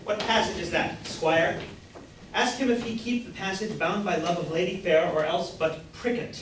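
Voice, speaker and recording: A man acts a gallant mock knight in an old stage comedy. His delivery is pompous and declamatory, in a fairly high voice. He sounds far from the mic in a very echoey room. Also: normal speed, natural voice. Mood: grandly courteous. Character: pompous, gallant mock knight-errant, young male apprentice. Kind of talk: amateur staged reading of Jacobean comedy. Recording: distant echoey room, background hiss.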